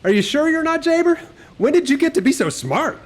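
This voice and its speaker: A man's voice: very high voice, very animated, fairly quick. An actor playing an upbeat, teasing male squad leader in a video game cutscene. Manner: teasing, commanding.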